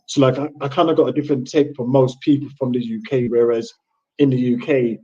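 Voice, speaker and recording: A man's voice: mid-range voice, brisk, husky. A man born in England who grew up in Jamaica, a panel guest chatting casually and rambling a little, on a muffled online call. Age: adult father with children. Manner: relaxed, rambling with many restarts. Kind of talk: casual livestream chat.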